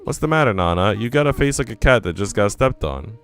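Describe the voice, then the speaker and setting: Visual novel friend: perceptive, cheerful young woman, curious and apologetic, expressive pitch played by a man. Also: a little fast, smooth, soft background music. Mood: startled. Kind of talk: visual novel dialogue reading.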